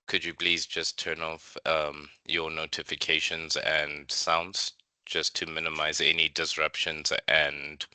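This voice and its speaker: A man's voice: rumbling low, pushed and bright, clear, resonant tone, dry recording. A man hosting a webinar, formally and politely welcoming attendees and explaining housekeeping. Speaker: webinar host. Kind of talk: scripted welcome and housekeeping for an online session.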